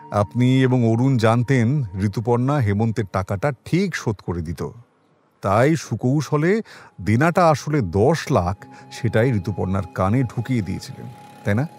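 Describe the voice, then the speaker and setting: A man's voice: medium pitch, expressive, a little slow, long pauses, faint music behind. A wry, reflective male detective. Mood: probing. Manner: musing, conversational, gently ironic. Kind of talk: Bengali audio drama acting.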